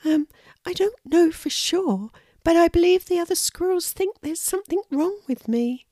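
Storybook squirrel, young female, played by a woman: small high voice, shy and earnest, lilting delivery, dry close sound. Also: very animated, a little slow, a little mellow, dry recording. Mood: earnest, a little sad. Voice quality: little, thin voice. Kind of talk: children's storybook character voice.

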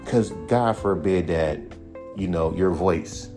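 A man hosting a podcast, ranting bluntly and profanely in a casual monologue, with a voice he calls monotone. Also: deep voice, slow pace. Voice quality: self-described monotone voice. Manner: blunt, profane, animated rant. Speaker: podcast host. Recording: music playing behind the voice.

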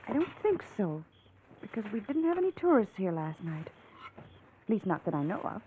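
A woman's voice: mid-range voice, sing-song, moderate pace, lightly acted. An actress playing a frightened young woman desperate to escape in an old-time radio drama, earnest and anxious in manner. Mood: matter-of-fact. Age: young adult. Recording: tinny, noisy vintage radio recording.

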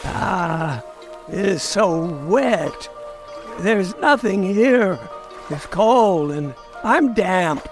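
A fussy, grumbling sidekick in a radio mystery. His voice is high for a man and creaky, and he drags his words out very slowly, whining like a reluctant tagalong. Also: animated, faint music behind, full character voice. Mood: whiny, miserable. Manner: whiny, grumbling, dithering. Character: fussy, complaining, reluctant male agent and unwilling sidekick. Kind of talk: old-time radio comedy-mystery acting.

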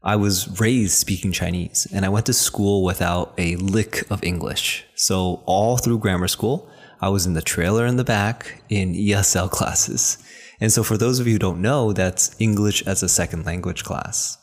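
A man's voice: low-pitched, normal speed. A man in his thirties from New hosting a podcast, speaking with earnest encouragement straight to the listener. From New Jersey, raised in New York. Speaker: podcast host and acupuncturist. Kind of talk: motivational podcast talk.

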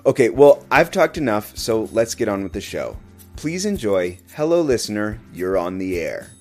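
A man's voice: fairly low pitch, sing-song, normal speed, faint music behind. A man hosting a podcast, reading a scripted introduction. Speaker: podcast host and producer.

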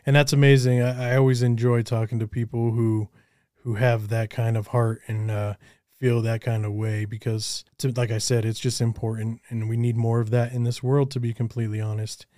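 A man's voice: mid-range voice, little pitch movement, normal speed, warm tone, no echo, clean studio sound. A man hosting a casual interview, affirming his guest with short encouraging replies. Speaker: interview host.

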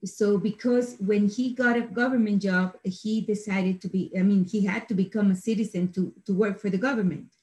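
A woman from Ecuador, telling her story in an interview in a halting, self-correcting way. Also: medium pitch, fairly even intonation, darkish tone, low-quality recording. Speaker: guest, financial education instructor.

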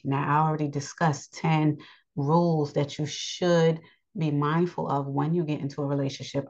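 A deep-toned woman with a scratchy voice gives a scriptural lesson on her podcast. She rambles and pauses often with ums. The recording sounds dull and lo-fi. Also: darkish tone. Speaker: podcast teacher.

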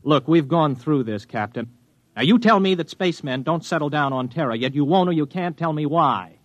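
A man's voice: a little high, animated, brisk, no room sound, close to an everyday voice. An actor playing a weary, stubborn spaceman who narrates his own story, in 1950s radio drama style. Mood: indignant. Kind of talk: 1950s radio acting with first-person narration. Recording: dull vintage radio recording, music.

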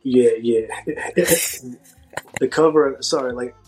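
An American man speaking casually as an interview guest, with a halting delivery and a slight speech impediment. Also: mid-range voice, steady tone, darkish tone, soft background music, low-quality recording. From Georgia, United States. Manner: halting, filler-heavy delivery with self-described speech impediment. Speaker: podcast guest, hip hop artist.

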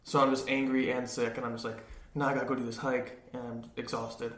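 A young man, a podcast co-host who is feeling sick and tired, telling animated stories in casual chat. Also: mid-range voice. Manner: fast, animated storyteller, acting out quotes. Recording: roomy echo.

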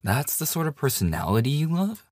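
A man's voice: mid-range voice, big pitch swings, fairly quick, a little mellow, no echo, clean studio sound. A man playing a devoted, slightly obsessive boyfriend in an intimate ASMR audio roleplay, with a hesitant, stammering manner. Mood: incredulous. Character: devoted, nervously joking boyfriend hiding obsessive yandere streak. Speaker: voice actor.

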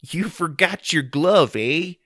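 Man putting on a folksy, lively Canadian fellow. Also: on the high side, slow, no echo, studio quality, voice pushed up, full character voice. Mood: folksy, casual. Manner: folksy, ends phrases with "eh". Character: folksy, kindly Canadian man who loves maple syrup.

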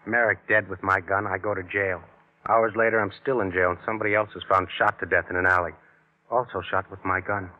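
An actor playing a cocky, defiant murder suspect in an old-time radio crime drama, with insolent, slangy delivery. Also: male voice, low-pitched, level tone, a little fast, close to an everyday voice. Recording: tinny, narrow-band old radio recording.

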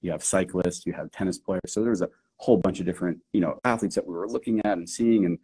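A man from New Hampshire, a guest strength coach telling his background in a relaxed, easygoing way. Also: low-pitched, fast, husky. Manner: relaxed storytelling, easy laughs. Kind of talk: casual interview answers.

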